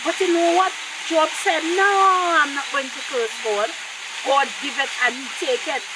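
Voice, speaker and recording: A woman's voice: high-pitched, normal speed. A woman with a Caribbean accent telling Bible stories to children conversationally, emphatic and repeating words, often questioning her listeners directly. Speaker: co-host of a children's Bible story program. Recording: loud steady hissing background noise.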